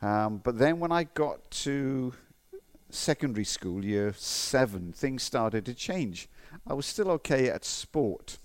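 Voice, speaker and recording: A middle-aged man with a faded Welsh accent, preaching a sermon in a relaxed, conversational way, mixing personal stories with Bible reading. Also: medium pitch, slow pace. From Wales. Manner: conversational, with frequent restarts and fillers.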